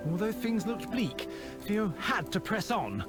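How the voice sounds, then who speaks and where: A man playing a solemn, wry storybook narrator in a mystery game, with a measured, theatrical, literary delivery. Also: sits high, very animated. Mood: solemn, suspenseful. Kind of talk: scripted dramatic game narration. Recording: loud music under the voice.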